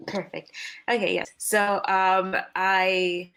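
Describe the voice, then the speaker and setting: A woman's voice: mid-range voice, flat delivery, slow, a bit bright, clear, resonant tone. A young woman in her twenties from Zambia, talking casually on a video call as a guest, with many fillers and restarts. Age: 20s. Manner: chatty, many fillers and restarts, laughs often. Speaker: guest software engineer.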